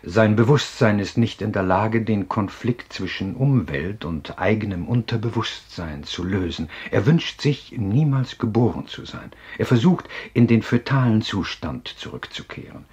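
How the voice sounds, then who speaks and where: A man playing a self-assured psychiatrist in a radio drama, explaining in a measured, courteous, husky lecture. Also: on the low side, animated, a little mellow, dull audio. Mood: assured, explanatory. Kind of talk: German radio drama acting.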